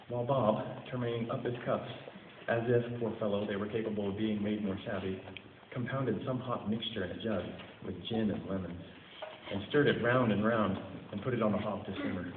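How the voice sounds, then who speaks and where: A man giving a dramatic storybook reading in a theatrical, phrase-by-phrase delivery. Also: on the low side. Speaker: story reader. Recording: tinny, noisy, echoey hall recording.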